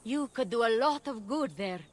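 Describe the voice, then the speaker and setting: A woman playing a composed, gentle healer of the ancient world, speaking in a measured, earnest way in a video game. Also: fairly high pitch, lively intonation. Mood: warm, teasing. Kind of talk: video game cutscene acting.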